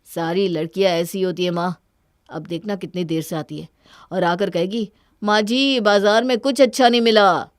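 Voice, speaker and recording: A woman's voice: mid-range voice, moderate pace, no room sound, studio quality, lightly acted. A woman voicing a suspicious, bitter young husband in a cartoon family story. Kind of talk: Hindi cartoon moral-story voice acting.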